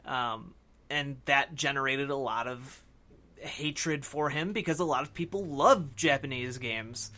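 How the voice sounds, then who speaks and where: A man co-hosting a casual film discussion podcast, talking in a halting, discursive way that often hedges. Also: medium pitch, pushed and bright. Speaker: podcast co-host.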